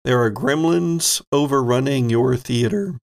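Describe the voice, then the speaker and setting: A man with noticeable fry in his voice acts as game master. He sits at an average male pitch and narrates playfully, improvising as he describes each scene. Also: a little slow. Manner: descriptive, playful storytelling with pop-culture asides. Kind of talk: improvised narration of a tabletop horror-comedy game.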